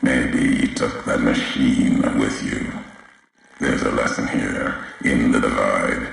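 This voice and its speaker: A vengeful, history-haunted man of the wasteland for a video game. His voice is extremely low and he speaks slowly, in cryptic fragments with heavy pauses. Every phrase rings with deep echo. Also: fairly even intonation, exaggerated voice. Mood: solemn, knowing. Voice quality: very deep, gravelly. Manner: cryptic, deliberate, clipped phrases with weighty pauses. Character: brooding, vengeful male wasteland wanderer obsessed with history. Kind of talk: slow brooding game dialogue monologue. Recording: heavily echoing voice, faint background noise.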